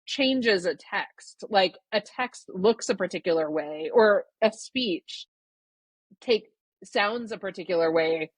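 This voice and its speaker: Measured woman, dry close sound. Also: mid-range voice, lively intonation, no room sound. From Canada. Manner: thoughtful, measured, qualifies claims. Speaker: guest author. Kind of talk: podcast interview answer.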